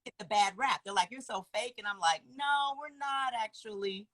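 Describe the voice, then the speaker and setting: A woman from Los Angeles hosting a casual interview, animated and slangy with lots of encouraging interjections. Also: medium pitch, normal speed, crowd noise. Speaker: host.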